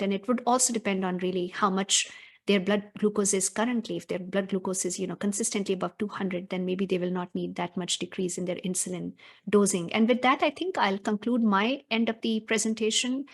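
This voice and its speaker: A woman physician presenting in a webinar, walking through treatment steps in a brisk, technical way with many filler sounds. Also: medium pitch.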